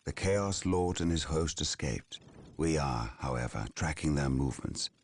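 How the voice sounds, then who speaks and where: A man playing a dutiful, sober space marine officer in a video game, speaking formally and in a measured way with a husky voice. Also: a bass voice, lively intonation, exaggerated voice. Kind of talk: dramatic video game voice acting.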